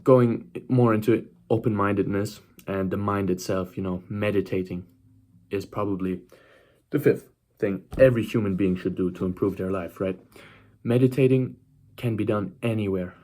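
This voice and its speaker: A man's voice: on the low side, dark tone. A nineteen-year-old man giving a casual motivational talk to camera, speaking emphatically, repeating himself and often checking in with the listener. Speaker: self-improvement vlogger.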